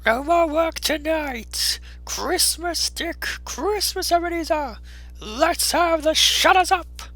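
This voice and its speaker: A man voicing a jovial, hearty, fat old gentleman with a rich voice, calling out in loud whoops in a storybook reading. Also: slow, dry recording, faint music behind, heavily acted. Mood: jovial, excited. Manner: booming, whooping calls.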